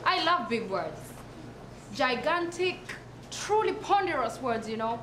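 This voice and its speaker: A woman's voice: high-pitched, sing-song, measured pace. A woman performing a comic monologue in a theatrical, self-important, emphatic way. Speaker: performer reciting a literary piece. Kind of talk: dramatic recitation of a comic monologue. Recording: echoey room with clear background noise.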